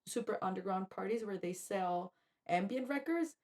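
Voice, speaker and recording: A woman from Colombia co-hosting a podcast, telling a personal story in an animated, chatty way with lots of "like". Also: medium pitch, normal speed. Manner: animated, quotes herself, fillers like "like". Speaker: podcast co-host. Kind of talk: casual conversational storytelling.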